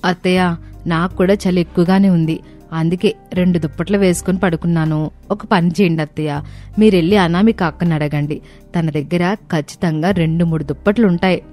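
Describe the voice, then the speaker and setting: A woman's voice: fairly low pitch, steady tone, fairly quick, darkish tone, faint music behind, natural voice. A woman voicing a young, practical daughter-in-law in a cartoon story, with an emphatic manner. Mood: brisk.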